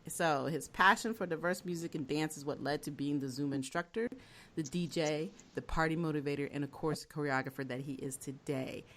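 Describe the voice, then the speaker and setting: A woman's voice: low voice, brisk, fairly bright tone, a little hiss or hum. A woman co-hosting a casual podcast, chatty and bubbly, laughing easily as she introduces and questions a guest. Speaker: podcast co-host.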